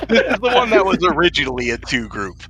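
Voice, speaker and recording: A man's voice: a little high, sing-song, quick pace, over a noisy crowd. A man running a tabletop role-playing game as game master, describing combat vividly and explaining the rules.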